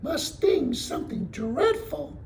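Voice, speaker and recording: Man putting on a shrill, feisty girl bear trainer. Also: sing-song, slow, vocal fry, a little mellow, slight background noise, full character voice. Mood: fussing, reassuring. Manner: shrill, fussing, folksy.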